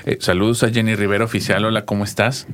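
Upbeat male radio host, low-voiced. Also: flat delivery, a loud crowd. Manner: upbeat, halting with fillers. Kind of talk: casual radio chat.

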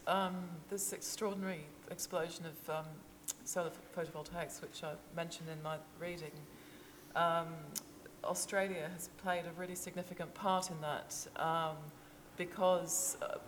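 Woman panelist, very low and flat, halting delivery, hall reverb and faint noise. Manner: hesitant, many ums and restarts. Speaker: author on panel. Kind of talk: panel discussion.

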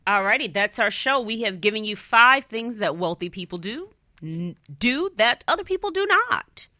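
A woman hosting a casual talk show, colloquial and quick to chime in with agreement. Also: on the high side, very animated, sharp tone, no echo, studio quality.

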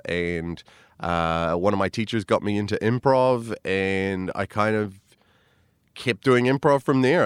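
A man's voice: on the low side, smooth, dry recording, studio quality. A man, a comedian chatting as a podcast guest, rambling and self-deprecating with lots of fillers. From New Zealand. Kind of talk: casual interview chat.

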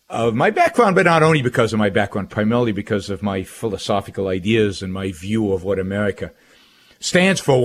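Middle-aged man, Israeli accent, mid-pitched voice, talk radio monologue, emphatic, repetitive phrasing. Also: a little hiss or hum. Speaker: guest radio host.

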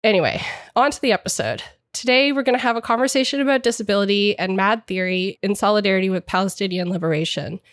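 A woman hosts a podcast, her voice a little stuffy from a cold. She explains things earnestly at a middling pitch, recorded close and completely dry. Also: fast, no room sound. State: slightly congested from a cold. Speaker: podcast host. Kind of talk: scripted podcast intro and discussion.

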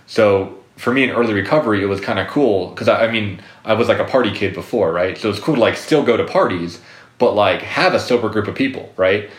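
A low-voiced man speaking as a therapist guest, circling his points with fillers and restarts. He sounds roomy, as if recorded in an echoey space. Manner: rambling, many fillers and restarts. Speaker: guest psychotherapist. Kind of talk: casual interview talk.

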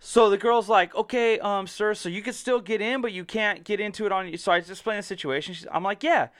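Casual podcast co-host, male, high and clear voice, swears often, acts out conversations. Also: a little fast. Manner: animated storyteller, swears freely, acts out both sides of a dialogue. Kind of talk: casual chat.

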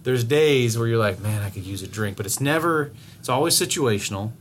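A man co-hosting a podcast in casual chat, rambling with many restarts, swearing freely and getting animated as he tells stories. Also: mid-range voice, brisk, slight background noise. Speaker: podcast co-host.

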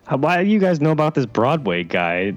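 A man playing the guest in improvised comedy banter, deadpan and matter-of-fact, congested with a cold. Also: on the high side, brisk, faint music behind.

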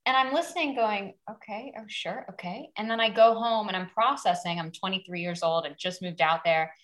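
A woman's voice: medium pitch, brisk. A woman in her thirties, a guest actor on a podcast, telling stories in casual chat, acting out quotes and laughing at herself. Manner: animated storyteller who acts out quotes and laughs at herself.

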